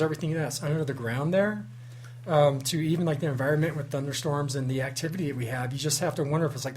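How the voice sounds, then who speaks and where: Male paranormal investigator guest, higher voice, earnest and explanatory, faint hum. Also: darkish tone. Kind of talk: casual radio interview.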